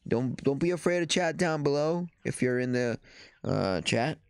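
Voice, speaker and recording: Stuffy-nosed man, halting sports chat. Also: medium pitch, expressive, vocal fry, clean tone, no echo. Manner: halting, with frequent fillers and restarts. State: stuffy nose after the flu. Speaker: softball journalist hosting a show. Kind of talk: casual commentary and reading news aloud.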